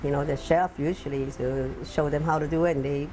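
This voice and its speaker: A woman restaurateur with a Hong Kong Chinese accent, speaking in a documentary interview and leaning on lots of fillers as she pauses and restarts. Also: sits low, steady tone, background noise. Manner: halting, many fillers.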